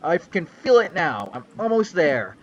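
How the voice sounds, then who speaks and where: A man's voice: a little high, sing-song, a little slow, dull audio, crowd noise. A young man giving a mock-dramatic reading of a fanfic on a livestream, with a theatrical, sweeping storyteller delivery. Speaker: reader on a livestream.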